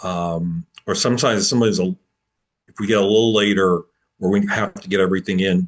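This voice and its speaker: A man answering applicants' questions at an online open house, relaxed and explanatory, over a video call. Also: sits low. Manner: relaxed, explanatory, with repeats and restarts. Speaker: program admissions advisor.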